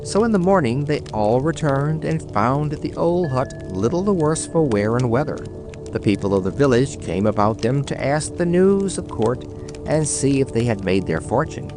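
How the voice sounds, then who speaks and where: A man's voice: mid-range voice, animated, normal speed. A man narrating a bedtime fairy tale in a dramatic storytelling style, with music behind him.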